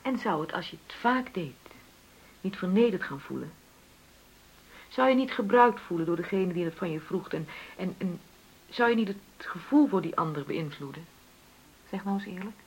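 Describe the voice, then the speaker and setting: An actress playing a hurt, argumentative middle-aged wife in a Dutch radio play, with a pointed, insistent way of questioning. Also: female voice, fairly low pitch, lively intonation, moderate pace, long pauses, breathy edge, rough recording. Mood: insistent reproach.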